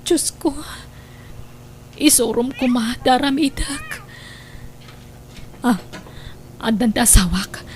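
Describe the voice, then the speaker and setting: A fairly high female voice plays a worried wife and mother in a domestic radio-style drama. Her delivery is emotive and sighing, over slight recording noise. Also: huge pitch swings, slow, pauses often, heavily acted. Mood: suspicious. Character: suspicious, worried wife and gentle mother of young children. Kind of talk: Ilocano audio drama acting.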